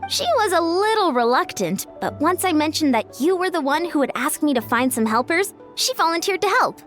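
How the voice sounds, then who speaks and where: An actress playing an earnest, brisk, energetic young female sports coach in a video game. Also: high-pitched, soft background music. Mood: modest, frank.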